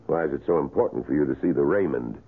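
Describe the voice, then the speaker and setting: Low, husky, courteous man. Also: heavily acted. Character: courteous, reserved museum employee off duty. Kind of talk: 1970s radio drama acting. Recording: thin, phone-like old radio recording.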